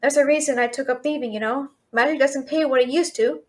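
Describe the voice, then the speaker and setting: Woman acting a high-voiced, brash young thief. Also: steady tone, fast, natural voice. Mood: grumbling, wry. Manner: chatty, sarcastic, exasperated bluster. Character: brash, fast-talking young female thief and failed alchemist, captive. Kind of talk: fantasy audio roleplay, addressing an unseen listener.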